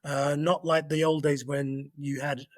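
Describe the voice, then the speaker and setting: Chef talking a recipe through, dry close mic. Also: male voice, fairly high pitch, measured pace, dry recording. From born in Kenya, grew up in London. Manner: relaxed, explains recipes step by step with many ums. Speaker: chef guest. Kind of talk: casual podcast interview.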